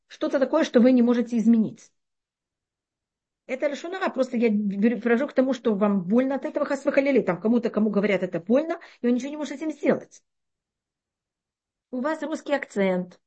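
Middle-aged woman teacher, quick and fairly high, animated, restarts a lot. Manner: animated explaining with frequent restarts and rhetorical questions. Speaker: Torah teacher. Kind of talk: interactive religious class.

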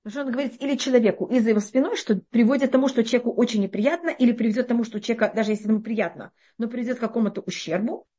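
A middle-aged woman teaching a religious text class, explaining with lively rhetorical questions and frequent restarts. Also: on the high side, racing, few pauses, breathy edge, lo-fi sound. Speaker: Torah teacher.

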